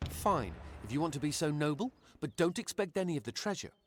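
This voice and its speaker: A man's voice: fairly high pitch, very animated, a little fast, a little hiss or hum. A man voicing a naive, boastful young man in a video game, speaking in an earnest, impulsive, self-important way. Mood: boastful, eager. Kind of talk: video game character acting.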